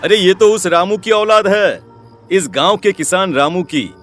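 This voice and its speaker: A man's voice: high voice, unhurried, a bit bright, faint music behind. Menacing, cruel village husband acted by a man. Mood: cruel, taunting. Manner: menacing, taunting.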